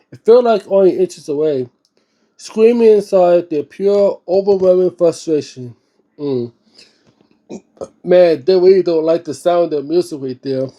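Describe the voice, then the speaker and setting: Male book-reading host, high voice with a soft, dark tone. Informal, halting delivery with frequent stumbles and repeats. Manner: slangy, informal asides. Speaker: host of a read-aloud book series. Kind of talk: dramatic book reading with informal spoken commentary.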